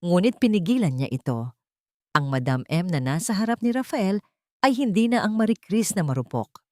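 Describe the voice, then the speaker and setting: A woman's voice: lowish voice, sing-song, normal speed, warm tone, no echo, studio quality. A woman reading a scripted, dramatic audio story as its narrator.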